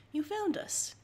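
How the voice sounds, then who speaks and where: A woman's voice: mellow, a little hiss or hum. A woman co-hosting a livestream in a casual, playful, giggly way. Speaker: livestream co-host. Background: music.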